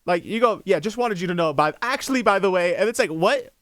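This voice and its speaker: A high-pitched man talks his way through a reaction video, rambling and joking with plenty of swearing. His voice is smooth and clean. The mic is close and dry, with no room sound. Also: lively intonation, quick pace, a bit bright, dry recording. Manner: rambling, jokey, swears freely. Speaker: reaction streamer. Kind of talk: casual reaction commentary.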